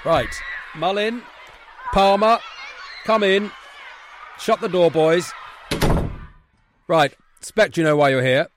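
A man playing a stern headmaster in a comedy sketch, scolding schoolboys in a loud, emphatic, repetitive way. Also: high-pitched, takes it slowly, long pauses, noisy background, pitched above the performer's own voice.

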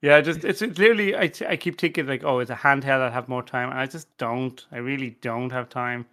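A man's voice: medium pitch, a little fast, sharp tone. A man chatting casually as a podcast panelist, rambling and stammering through many restarts. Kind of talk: casual chat.

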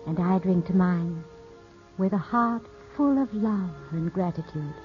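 A woman acting a gracious, affectionate widowed gentlewoman in a 1950s radio drama, with soft, genteel delivery. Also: on the low side, measured pace, lightly acted. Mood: tender, delighted. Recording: dull vintage radio recording with music behind.

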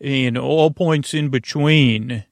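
A man with a creaky voice hosting a sleep-aid podcast in a soothing, meandering, hesitant monologue full of tangents. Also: medium pitch, slow pace, no echo. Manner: soothing, lulling. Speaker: podcast host.

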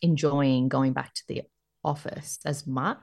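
A woman's voice: rumbling low, steady tone, measured pace, gravelly, darkish tone, slightly rough, no echo, clean studio sound. A woman in her thirties with an Australian accent, chatting casually and jokily as a podcast co-host. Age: 30s. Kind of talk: casual, joking chat between friends.

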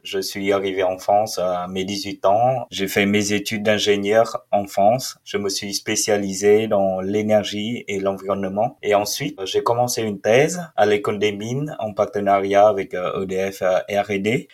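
A man's voice: lowish voice, fairly even intonation, darkish tone. Hesitant young man. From Vietnam. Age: 20s. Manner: hesitant, many filled pauses. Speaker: researcher and startup founder. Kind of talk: first-person testimony.

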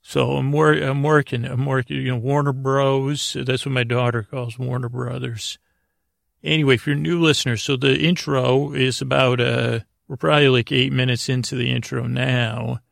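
Middle-aged male sleep-podcast host, very heavy vocal fry, low-pitched-to-middling register, meandering and halting delivery, recorded close and dry. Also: flat delivery, fairly bright tone, dry recording. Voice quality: creaky, gravelly. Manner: meandering, halting, self-interrupting. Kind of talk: rambling bedtime sleep-podcast monologue.

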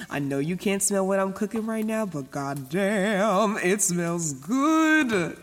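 A woman's voice: mid-range voice, lively intonation, extremely slow, in a large hall, soft background music. An actress playing an anxious, chatty young woman who rambles casually and swears in a naturalistic audio drama monologue. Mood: playful, proud. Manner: rambling, casual, sweary, stop-start self-talk. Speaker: lead actor in an audio drama.

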